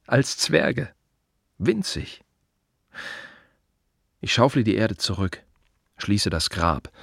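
A man playing a reflective, wry gravedigger in an intimate radio play monologue, speaking in musing fragments with a husky voice. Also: lowish voice, no room sound, studio quality, full character voice. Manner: musing, short fragmentary phrases with pauses. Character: reflective male gravedigger, wry, plain-spoken, quietly observant.

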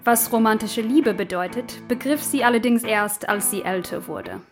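A woman's voice: fairly high pitch, moderate pace, faint music behind. A woman presenting a radio play with scripted explanatory narration, informative and in short phrases.

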